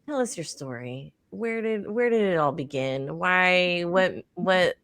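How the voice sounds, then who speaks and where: A woman co-hosts a podcast in casual chat. Her low voice moves lively up and down, and she jumps in warmly to encourage her guest. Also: slow, no echo. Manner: warm and encouraging, eager interjections. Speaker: podcast co-host.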